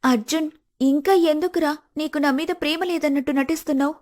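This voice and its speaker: A woman plays a loyal young friend in an animated moral tale. She has a high voice and a confident, assertive way of speaking. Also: brisk, close to an everyday voice. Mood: insistent. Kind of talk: animated moral-story dialogue.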